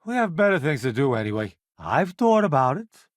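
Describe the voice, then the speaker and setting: A man's voice: a little high, big pitch swings, brisk, no room sound, studio quality. A man voicing a vain, wisecracking, cowardly food-columnist sidekick in a space video game, with a breezy, theatrical, boastful delivery. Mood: casual, wry. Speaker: companion character in a space game. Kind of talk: animated video game voice acting.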